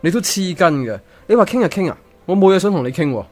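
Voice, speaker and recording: A man's voice: high-pitched, big pitch swings, fast, darkish tone, faint music behind. A man acting in a Cantonese radio drama as a cocky, impulsive seventeen-year-old schoolboy with a Hong Kong accent. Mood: defiant, annoyed.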